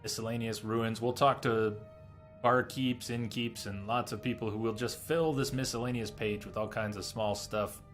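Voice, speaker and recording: A man's voice: fairly low pitch, fairly bright tone. A man who streams games, talking through a playthrough and reading lore aloud to his viewers. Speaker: game streamer. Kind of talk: live game commentary and reading in-game lore aloud.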